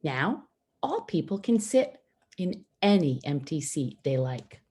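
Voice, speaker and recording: Slow, expressive woman reading a storybook. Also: sits low, slightly rough. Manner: deliberate, simple phrasing with pauses for young listeners. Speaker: storybook reader for schoolchildren. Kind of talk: read-aloud children's picture book narration.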